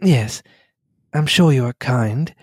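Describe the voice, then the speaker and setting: A man voicing a quiet, confiding young wife. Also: mid-range voice, slow pace, breathy edge, dry recording, studio quality. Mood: thoughtful. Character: gracious reserved young English wife, woman.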